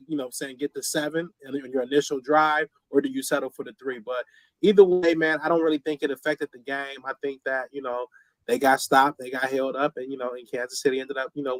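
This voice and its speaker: A man with a slightly hoarse, scratchy voice from a cold, giving laid-back football opinions as a sports show co-host. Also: medium pitch, no echo. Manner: laid-back, fillers like "you know what I'm saying". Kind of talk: casual sports talk.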